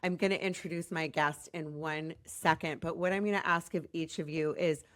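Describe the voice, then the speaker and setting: An American woman hosting a podcast in a chatty, rambling, self-deprecating way, her voice slightly raspy as it recovers from being lost. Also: sits low, steady tone, moderate pace, a bit bright, no echo, studio quality. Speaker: podcast host.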